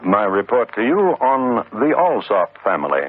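Dramatic, deliberate 1950s radio crime storyteller. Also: male voice, lowish voice, big pitch swings, a little hiss or hum. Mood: dry, ominous. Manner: deliberate, clipped, dramatic pauses. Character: dry, measured, ironic male true-crime storyteller. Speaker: host of a radio crime series. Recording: tinny old 1950s radio recording, music.

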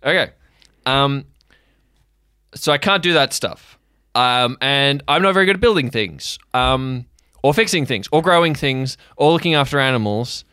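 An anxious adult counselor, played by a man, with a bright, clear voice. He is earnest and hesitant, stumbling through placating, therapist-like phrases as he tries to keep children safe in an audio drama. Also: mid-range voice, expressive, normal speed, no echo, clean studio sound. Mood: earnest, apologetic.

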